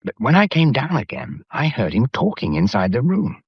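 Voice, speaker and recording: An actor playing a wry, gallant gentleman in a radio drama, husky and understated, with an offhand, clipped way of talking. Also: medium pitch, huge pitch swings, normal speed, dry recording, lo-fi sound, full character voice. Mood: wry, rueful.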